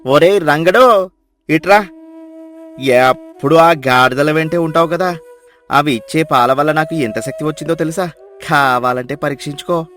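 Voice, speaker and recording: A man's voice: a little high, moderate pace, long pauses, a bit bright, lightly acted. A man playing an arrogant, gullible village headman, pompous and commanding as he boasts in a dramatised moral story. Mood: swaggering. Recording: music behind the voice.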